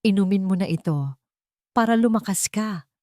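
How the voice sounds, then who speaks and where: A woman's voice: mid-range voice, normal speed, a little mellow, no room sound, studio quality, close to an everyday voice. Woman voicing a cold, scheming wife. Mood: coldly coaxing. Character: scheming, resentful younger wife, cold and bitter.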